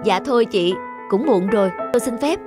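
Kind young delivery man, cartoon, super polite n apologetic, music loud behind him. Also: high-pitched, expressive, a little fast. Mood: polite, kindly. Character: big, kindly, harried young delivery man. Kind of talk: animated drama dubbing.

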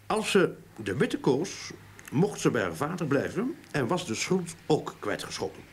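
An actor playing a talkative, enthusiastic sleuth in a radio drama, explaining things eagerly in a husky voice with emphatic repeats. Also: male voice, a little high, big pitch swings, a little slow, slight background noise. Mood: storytelling. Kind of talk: Dutch radio drama acting.

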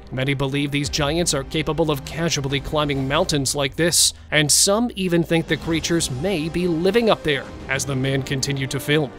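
A man reading a scripted countdown of strange sightings, with dramatic pauses and questions to the viewer. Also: on the high side, a bit bright. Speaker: narrator. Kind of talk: scripted narration.

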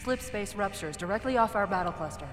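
A sharp female military AI, voiced by a woman, reporting threats in crisp, precise phrases. Her voice echoes in a long hall over a bit of music. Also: medium pitch, lively intonation, brisk, close to an everyday voice. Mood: alert.

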